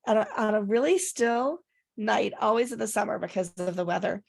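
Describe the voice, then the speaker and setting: A woman co-hosting a casual chat, her voice raspy and congested from recent illness, talking in a rambling way with fillers. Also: medium pitch, fairly quick. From Rochester area, upstate New York. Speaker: podcast co-host.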